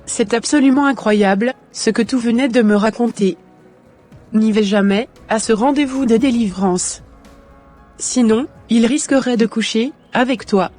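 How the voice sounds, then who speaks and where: A woman's voice: on the high side, measured pace, a little mellow, soft background music. A woman playing firm advising friend Hermine. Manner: firm, caring advice.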